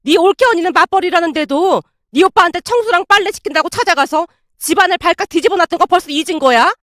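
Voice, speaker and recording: A woman narrator acts out an older Korean-drama style mother-in-law in a story reading. She is blunt and indignant, fiercely protective, and quick to berate. The voice sits high in a very dry recording. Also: lively intonation, no echo. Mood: furious scolding.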